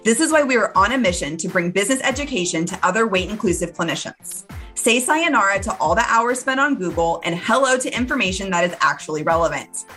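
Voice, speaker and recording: A woman reading a scripted podcast intro with a bright, polished delivery. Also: mid-range voice, animated, fast, soft background music.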